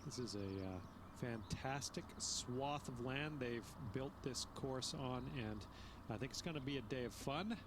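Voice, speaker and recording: A man's voice: medium pitch, measured pace, noisy background, lightly acted. A man playing an upbeat on-course golf reporter. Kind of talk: scripted TV sports commentary.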